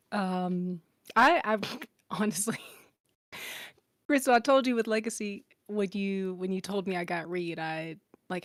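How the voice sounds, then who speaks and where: A woman speaking casually and effusively in an online chat, giving heartfelt thanks in a halting way. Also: mid-range voice, no echo, clean studio sound. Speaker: voice actor and musician on an audio drama.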